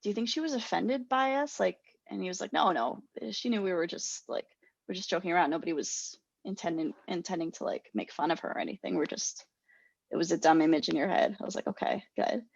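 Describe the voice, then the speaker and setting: A woman's voice: on the low side, fairly quick, vocal fry, breathy edge, no echo. Young woman podcast co-host, rambling storyteller, self-mocking, dry muffled sound. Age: young adult. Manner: rambling, self-deprecating, laughs a lot. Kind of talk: casual storytelling chat.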